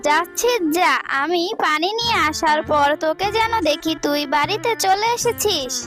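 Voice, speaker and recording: Protective animal mum, woman performer: very high cartoon voice, motherly admonishing, faint music behind. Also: expressive, normal speed. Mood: worried, reluctant. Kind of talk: children's animated cartoon dialogue.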